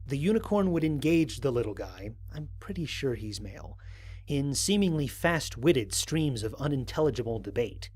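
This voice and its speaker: A man playing an observant, analytical space explorer, narrating formal mission logs in a measured, precise way for an audio drama. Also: mid-range voice, a little mellow. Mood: curious, wry. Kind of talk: scripted first-person audio drama narration.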